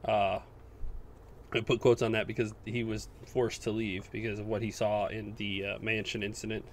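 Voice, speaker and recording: A man's voice: on the low side, level tone, normal speed, slight background noise. A man co-hosting a casual gaming chat, explaining details in an insistent, exacting way. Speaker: podcast co-host. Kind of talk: casual video game chat.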